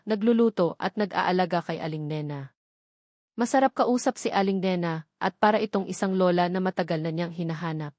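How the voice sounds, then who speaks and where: A woman's voice: medium pitch, darkish tone, no room sound, studio quality. A woman reading scripted narration of a romance story as its narrator.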